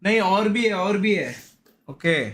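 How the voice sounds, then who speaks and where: A man's voice: high voice, animated, slow pace, a bit bright. A man with an Indian accent chatting casually to viewers on a game stream, slangy and repetitive, often exclaiming and grumbling. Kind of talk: casual live stream chat with viewers while gaming.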